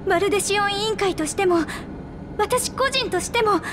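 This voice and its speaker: A woman's voice: extremely high pitch, normal speed, background noise. A woman voicing a tiny, childlike halfling researcher in a video game, earnest and polite. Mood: earnest, pleading.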